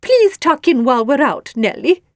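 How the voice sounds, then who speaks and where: Monster hostess, female beetle, played by a woman: snuffly, gracious, emphatic, high-pitched storybook character. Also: very animated, normal speed, darkish tone, no room sound, clean studio sound.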